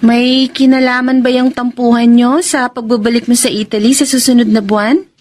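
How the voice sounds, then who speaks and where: Actress as high-voiced, earnest Filipina younger sister. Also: female voice, steady tone, normal speed, a little mellow, lightly acted. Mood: curious, probing. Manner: probing, earnest, reproachful. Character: frank, caring younger sister, gently scolding. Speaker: radio drama actress. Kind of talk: melodramatic Filipino radio drama acting.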